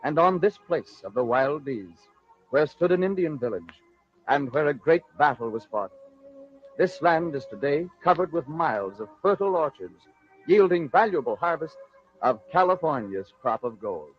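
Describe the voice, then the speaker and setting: An American man with a somewhat high voice narrates in a theatrical, measured 1940s radio style. The recording is dull and lo-fi, with some music behind him. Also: very animated. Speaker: narrator and host of a radio drama.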